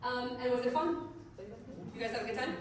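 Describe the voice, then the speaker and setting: Woman, slightly high voice, chatty conference presenter, heard from a distance over a crowd. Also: brisk. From Trinidad and Tobago. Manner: chatty, asks the audience questions. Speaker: district STEM director presenting. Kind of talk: conference presentation with audience questions. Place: conference hall. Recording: echoey hall, mic far, crowd behind.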